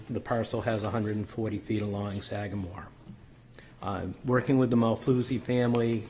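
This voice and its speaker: A man speaks low and almost monotone, walking a public board through technical details with steady ums and restarts. His voice is a little hoarse. Faint background hiss from a meeting-room feed sits underneath. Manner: methodical, technical, frequent ums and self-corrections. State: slightly hoarse. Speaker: applicant's engineer presenting a subdivision plan. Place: city council chamber.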